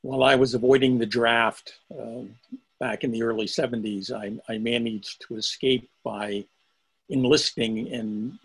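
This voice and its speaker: A man's voice: mid-range voice, gravelly, slightly rough, poor audio. A man in his seventies telling a rambling story of his past on a group video call. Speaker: participant.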